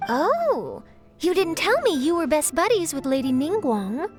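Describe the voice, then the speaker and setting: A woman voicing an eager, friendly young journalist in a video game, brisk and upbeat. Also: sits high, big pitch swings, loud music behind the voice. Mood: surprised. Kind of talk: video game character acting.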